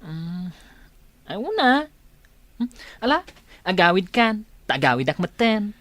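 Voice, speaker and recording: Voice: medium pitch, very animated, takes it slowly, a bit bright, dry recording. An actor playing an easygoing male buddy and workmate in a radio drama.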